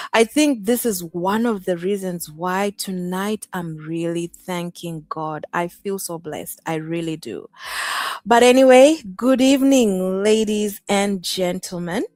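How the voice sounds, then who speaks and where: A woman's voice: on the low side, darkish tone, dry recording, studio quality. A woman from Zimbabwe, hosting a casual live-stream chat in a bubbly, exclamatory way. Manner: bubbly, exclaiming, laughs often. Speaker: host.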